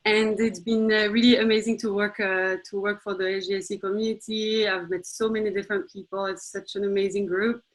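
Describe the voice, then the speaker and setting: A woman's voice: medium pitch, level tone, a little fast, few pauses, poor audio. A woman from France with a French accent, presenting an organization on a webinar with lots of fillers and enthusiasm. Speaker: executive director. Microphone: video call.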